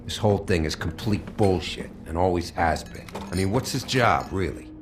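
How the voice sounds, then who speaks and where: An actor playing a bitter, hot-tempered brawler in a video game, gravelly and accusing. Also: male voice, sits low, moderate pace, noisy background, lightly acted. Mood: bitter, surly. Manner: cursing, accusatory.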